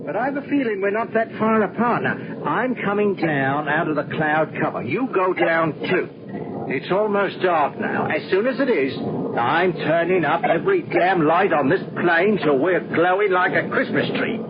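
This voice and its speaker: High-pitched male, excitable airman, urgent exclamations, 1970s radio play, muffled sound, heavy engine noise. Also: moderate pace. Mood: urgent, resolved. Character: excitable, determined man guiding a lost plane by radio. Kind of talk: 1970s radio drama acting. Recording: dull old radio broadcast, very loud engine noise.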